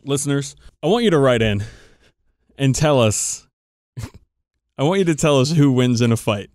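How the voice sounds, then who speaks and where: A man's voice: medium pitch, expressive, normal speed, darkish tone, no echo, studio quality. A man co-hosting a podcast in casual chat, emphatic, irreverent and full of swearing. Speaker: podcast co-host. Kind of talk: casual banter about tabletop wargaming.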